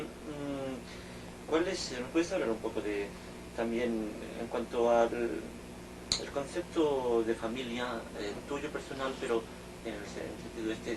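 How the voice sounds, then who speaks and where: A man interviewing, building long questions slowly with many fillers. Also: mid-range voice. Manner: hesitant, many fillers while forming questions. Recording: distant mic with loud hiss.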